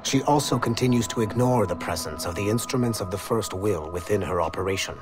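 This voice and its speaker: An actor playing a hawkish Templar enforcer who speaks bluntly and formally. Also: male voice, fairly low pitch, fairly quick, close to an everyday voice. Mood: stern, insistent. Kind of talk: scripted game dialogue. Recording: loud music behind the voice.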